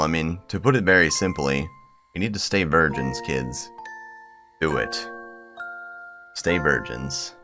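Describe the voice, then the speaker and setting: A man's voice: extremely low pitch, fairly bright tone. A man hosting a gaming video, talking casually and reading visual novel text aloud. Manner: blunt, crude, sweary joking. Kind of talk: casual commentary and read-aloud narration of game text. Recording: music playing behind the voice.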